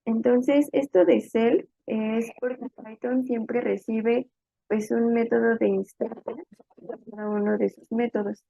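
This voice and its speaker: A woman's voice: on the high side, fairly even intonation, unhurried, gravelly, warm tone, no echo. A woman instructor narrating a step-by-step tutorial in an informal, think-aloud explanatory way as she types. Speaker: programming instructor. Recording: narrow phone-like sound, dry.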